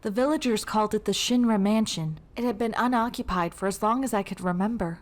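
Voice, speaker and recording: An actress playing an earnest, gentle young woman companion in a video game. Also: medium pitch, a little fast, natural voice. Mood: thoughtful.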